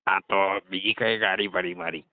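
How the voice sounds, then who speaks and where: Man playing low-voiced, cheeky garage customer. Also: fairly even intonation, normal speed, close to an everyday voice. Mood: evasive. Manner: nonchalant, needling, stalling. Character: cheeky, evasive male garage customer dodging payment. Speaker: performer in a scripted comedy call. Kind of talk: scripted comedy phone call. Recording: dull lo-fi phone call recording.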